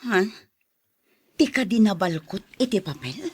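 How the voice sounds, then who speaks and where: A woman's voice: medium pitch, lively intonation, measured pace, darkish tone, breathy edge. A woman playing an anxious, suspicious village mother in an audio drama.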